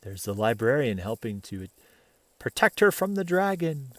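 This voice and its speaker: Man, mid-pitched voice, very animated intonation, picture book read-aloud, casual asides, dry close sound. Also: dry recording. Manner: expressive storyteller, pausing to comment and question the listener. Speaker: dad reading children's books aloud.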